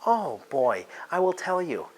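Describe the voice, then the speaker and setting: A man's voice: fairly high pitch, big pitch swings, a little slow, rough recording. A man talking casually in an interview as a theatre producer, searching for his words as he goes.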